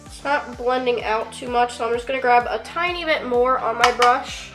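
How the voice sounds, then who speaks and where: Bright-voiced young woman, stuffy with a cold. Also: on the high side, steady tone, normal speed, soft background music. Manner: chatty, filler-heavy, offhand swearing. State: congested from a cold. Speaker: beauty vlogger. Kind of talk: casual makeup tutorial chat.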